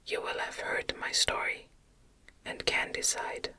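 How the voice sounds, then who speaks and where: A woman reading a classic gothic novel as ASMR in a gentle, breathy, unvoiced whisper with measured phrasing. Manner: slow, gentle, measured delivery. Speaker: audiobook reader.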